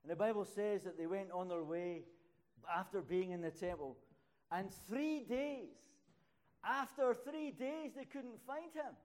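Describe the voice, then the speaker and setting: An older man preaching a sermon and telling stories from his ministry, climbing to loud, high peaks when he stresses a point. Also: unhurried.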